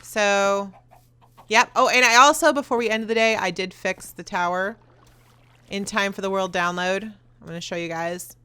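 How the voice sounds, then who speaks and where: A young woman hosting a video, talking loud and fast in casual chat as she answers viewer questions while playing a game. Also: medium pitch, steady tone, clean tone. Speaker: YouTuber host.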